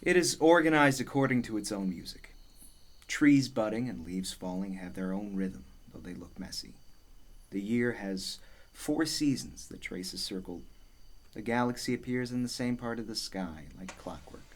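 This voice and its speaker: A man's voice: on the low side, animated, normal speed. A man playing a sceptical, orderly astronomer in a radio play, precise, dry and argumentative. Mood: measured, reflective. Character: John Dee, sceptical, orderly male astronomer-scholar.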